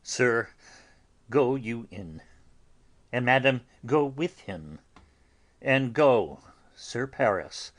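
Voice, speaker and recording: A man playing a stern, pious friar in a dramatic Shakespeare audiobook, sermonizing deliberately with long pauses. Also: medium pitch, lively intonation. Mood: grave commanding. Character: stern, pious friar admonishing and consoling mourners.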